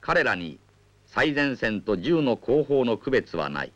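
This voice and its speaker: A man with a mid-pitched, highly varied voice narrates a political film. His scripted delivery is formal and rhetorical, rising and falling widely. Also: very animated, takes it slowly, a bit bright. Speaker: documentary narrator.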